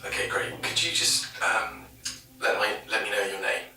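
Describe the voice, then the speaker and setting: An actor playing a polite academic investigator, putting courteous, formal, probing questions to a witness in a taped audio drama interview. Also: male voice, fairly low pitch, animated, moderate pace, pushed and bright. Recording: echoey, faintly noisy tape, distant microphone.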